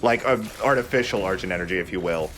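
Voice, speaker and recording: Low-voiced man doing casual game commentary. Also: quick pace, fairly bright tone, slight background noise, rough recording. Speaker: let's play host playing the game. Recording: game music behind the voice. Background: video game music.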